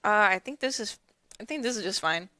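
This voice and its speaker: A young woman co-hosting a radio show, speaking in a monotone, deadpan way. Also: mid-range voice, fairly quick, no echo. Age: young adult. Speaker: radio co-host. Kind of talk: casual studio banter.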